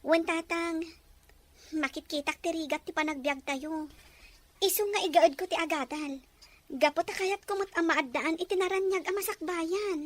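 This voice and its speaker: Woman playing a very high, bright young daughter. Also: normal speed. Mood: earnest, reassuring. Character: sweet, dutiful student daughter of poor farmer. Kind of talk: melodramatic radio-style drama acting.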